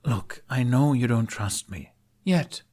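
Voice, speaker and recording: A man's voice: medium pitch, lively intonation, slow, a little mellow, voice pushed up. A man voicing a guarded young man who hides his worries behind half-hearted jokes in an audio story. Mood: reluctant, sincere. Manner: flippant, half-hearted joking.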